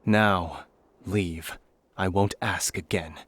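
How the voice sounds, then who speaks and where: An actor playing a calm, honorable young warrior prince in a video game, speaking in a formal, measured, earnest way. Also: male voice, deep voice, no echo, heavily acted. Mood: firm, stern. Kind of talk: scripted video game voice acting.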